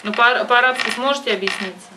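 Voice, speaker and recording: A woman's voice: fairly high pitch, quick pace. Insistent woman, distant and noisy recording. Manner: insistent, emphatic, repeats herself. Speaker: advisor and host of the phone conversation. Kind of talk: phone conversation, giving urgent advice. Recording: distant microphone with loud background noise.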